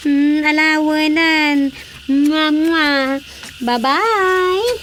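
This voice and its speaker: High-voiced affectionate Ilocano wife. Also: female voice, extremely slow, a bit bright, slight background noise, full character voice. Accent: Filipino, Ilocano. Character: affectionate, chatty wife on her way out. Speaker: radio drama actress. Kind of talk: Ilocano radio drama acting.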